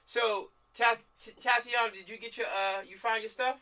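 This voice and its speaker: A man's voice: very high-pitched, fairly even intonation, normal speed, pushed and bright. A man chatting casually and directing actors over a video call, slangy and often repeating himself for emphasis. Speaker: filmmaker leading a script table read. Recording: distant mic on video call.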